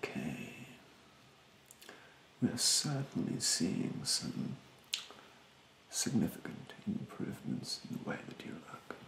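Hushed, very creaky young man, ASMR. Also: lowish voice, big pitch swings, roomy sound, a little hiss or hum, poor audio. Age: young adult. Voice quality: breathy, hushed. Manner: condescending, politely snide, hesitant drawn-out phrasing. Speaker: ASMR performer playing a rude facial therapist. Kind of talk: ASMR roleplay, soft-spoken with whispering. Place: bedroom, indoors.

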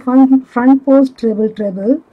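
Slow, higher-pitched woman teaching crochet. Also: phone-quality sound. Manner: measured, halting step-by-step instructions. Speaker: crochet instructor. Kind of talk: step-by-step craft tutorial.

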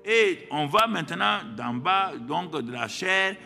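A man's voice: high-pitched, expressive, takes it slowly, creaky, pushed and bright. An older man preaching a sermon from a studio desk into a stand microphone, driving his points home with repetition and rhetorical questions. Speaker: pastor. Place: studio set seated behind a desk.

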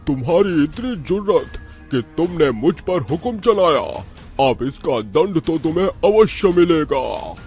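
Man playing a slow, menacing demon king. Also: a little high, sing-song, a little mellow, soft background music. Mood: angry, threatening. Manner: menacing, slow, imperious, gloating. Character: cruel gloating male demon king with a whip. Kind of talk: Hindi cartoon villain acting.

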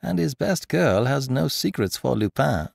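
Storybook gentleman thief, acted by a male reader: exuberant, mocking, boastful, hugely animated pitch, dry close sound. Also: normal speed, creaky, no room sound, full character voice. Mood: taunting. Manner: mocking, theatrical, peppered with rhetorical questions. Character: gentleman thief, teasing, exuberant, boastful, playfully condescending to youngster. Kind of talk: dramatised audiobook character dialogue.

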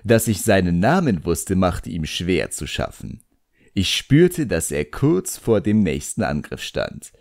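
A man's voice: deep voice, sing-song, unhurried, vocal fry, darkish tone, no room sound, studio quality. A man with a standard German accent reading scripted first-person fantasy narration expressively as an audiobook narrator.

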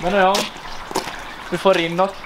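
A man's voice: high voice, little pitch movement, noisy background. Young man vlogging with a handheld camera. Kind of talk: casual vlog chat.

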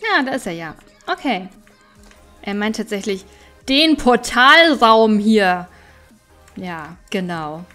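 A woman's voice: mid-range voice, big pitch swings, slow, long pauses, bright, forceful tone, faint music behind. A woman giving casual let's play commentary, chatty and thinking aloud as she goes. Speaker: gaming streamer and let's play host.

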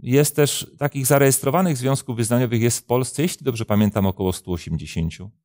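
A man in his fifties, a pastor hosting a programme, answering religious questions in a measured, deliberate way with hesitant pauses. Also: medium pitch, a little mellow, no room sound, studio quality. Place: indoor studio, seated at a desk.

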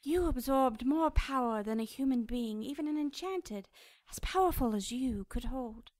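A woman's voice: high-pitched, expressive, darkish tone, no echo. A woman playing a cherub in a radio drama, a powerful angel who speaks in a formal, stately, haughty way. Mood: solemn. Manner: formal, stately, measured phrasing.

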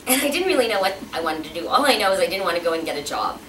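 A woman's voice: fairly low pitch, very animated, very fast, fairly bright tone, slight background noise. Woman, forties, guest speaker at a podium; roomy hall sound, mic at a distance. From Pakistan. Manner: chatty, engaging the audience with questions. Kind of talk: personal talk at a podium. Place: event hall with a backdrop, indoors. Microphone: podium mic, filmed from a distance.

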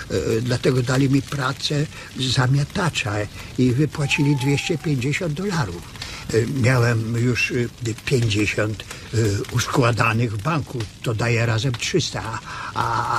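A man's voice: mid-range voice, lightly acted. An actor in a radio drama playing a lonely, timid old ranch hand who stammers and hesitates as he pleads. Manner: halting, stammering, full of hesitations. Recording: noisy ambience behind the voice.

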